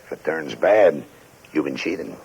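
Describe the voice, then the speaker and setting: A man's voice: a bass voice, moderate pace, darkish tone, slight background noise. An actor playing a terse, secretive man hiring an investigator in a 1940s radio noir, clipped, businesslike and insistent. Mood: terse, cautioning. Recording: muffled 1940s radio recording.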